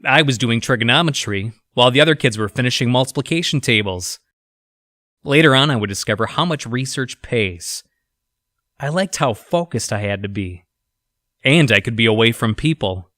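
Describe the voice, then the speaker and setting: A man's voice: mid-range voice, fairly bright tone, no room sound, clean studio sound, lightly acted. A man playing an arrogant, antisocial virus researcher reading his journal in an audio drama, smug and grudging.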